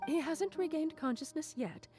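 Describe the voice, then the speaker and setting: A woman's voice: high-pitched, moderate pace, over music, lightly acted. A woman voicing a courteous, deferential academy clerk in a video game, formal and helpful.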